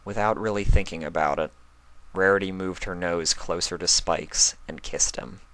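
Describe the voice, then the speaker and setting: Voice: low-pitched, steady tone, moderate pace, a bit bright, poor audio. A fan fiction reader giving a scripted narration of a romantic story.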